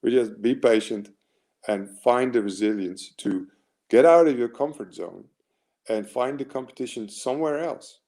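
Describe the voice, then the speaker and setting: A man's voice: medium pitch, moderate pace, warm tone. A man in his forties with an American accent, giving an earnest, rambling motivational talk as a mental performance coach, full of fillers and restarts.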